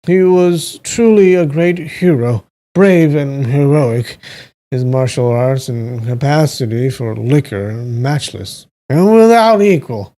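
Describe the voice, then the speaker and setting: A man voicing an elated, drunk young nobleman in an audiobook, effusive and declaiming, with slurred, drawn-out speech. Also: medium pitch, animated, warm tone. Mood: drunken admiration. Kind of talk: audiobook character voice.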